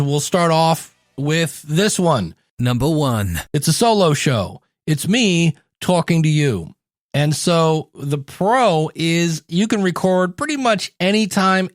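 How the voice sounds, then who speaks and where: An American man hosting a solo podcast, coaching listeners in a conversational, direct way. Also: sits high. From United States, Ohio. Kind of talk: solo podcast talk, teaching.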